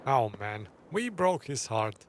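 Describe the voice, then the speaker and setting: A man commentates casually on a video game as its host. His voice sits at a middling pitch, with faint noise and game sound behind him. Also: very animated, slow pace.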